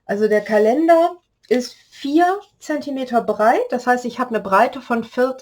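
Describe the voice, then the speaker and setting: A woman with a slight cold-hoarse voice, chatting through a step-by-step paper-craft tutorial and explaining the measurements as she works. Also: a little high, a little slow, a little mellow. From Germany.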